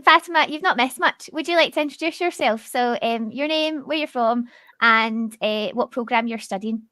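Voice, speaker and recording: A woman moderates an online question and answer session. Her voice sits a little high and the sound is dry. She phrases things informally, with some hesitation. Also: moderate pace, sharp tone, no room sound. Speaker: host, university staff moderator. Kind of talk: hosting an online student Q and A panel. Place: online video call.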